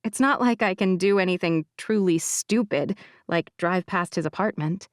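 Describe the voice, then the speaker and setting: A woman's voice: fairly low pitch, normal speed, dry recording. A woman reading a romance audiobook in the first person, wry and confiding, in a conversational tone, close to a studio mic. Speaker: audiobook narrator.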